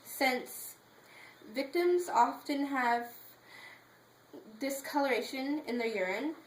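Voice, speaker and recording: Female presenter, slightly high voice, explanatory and a bit halting, informative speech recorded in a roomy space. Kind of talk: prepared informative speech with slides. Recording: echoey room with faint background noise.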